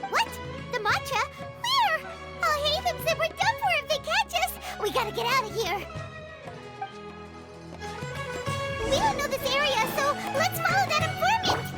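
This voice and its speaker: A woman voicing a small, childlike and nervous fairy companion in a video game, chatty and excitable. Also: very high voice, normal speed, over music. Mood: panicked.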